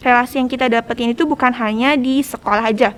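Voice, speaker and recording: A woman's voice: a little high, fairly even intonation, fast, a bit bright. A teenage young woman with a Jakarta Indonesian accent, explaining things in a casual conversational style as a podcast guest. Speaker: podcast guest, student organization leader.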